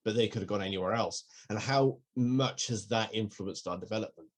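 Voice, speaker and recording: A man's voice: lowish voice, a little fast. A man raised in Wales and England co-hosting a podcast, reasoning things through in a thoughtful, analytical way with some restarts. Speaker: podcast co-host. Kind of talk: casual conversation.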